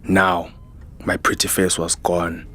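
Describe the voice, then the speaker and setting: A man's voice: low-pitched, level tone, takes it slowly, music in the background. A man playing a weary, defiant soldier, narrating a science fiction audio drama in a grave, dramatic manner. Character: weary, defiant male human soldier narrating his ordeal. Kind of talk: first-person scripted audio drama narration.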